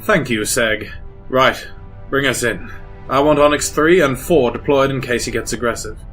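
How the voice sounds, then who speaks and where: A man's voice: medium pitch, normal speed, a bit bright, natural voice. Formal, anxious Imperial lieutenant played by a man. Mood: formal command. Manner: formal, military, slightly nervous. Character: anxious, dutiful young male Imperial lieutenant. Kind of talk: sci-fi audio drama acting. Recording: music behind the voice.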